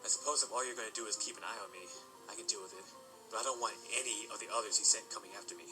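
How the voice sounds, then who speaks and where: A man's voice: on the low side, rapid-fire, loud music behind the voice, lightly acted, poor audio. A man playing a guarded, dry young male pony in a cartoon fan audio drama. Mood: wary.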